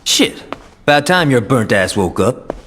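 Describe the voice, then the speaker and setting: An actor playing a brash, sarcastic, foul-mouthed gangster in a video game cutscene. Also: male voice, medium pitch, sing-song, a little slow, a bit bright, big-room sound, a little hiss or hum. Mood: sardonic. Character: cocky, foul-mouthed gangster, defiant, irreverent right-hand man.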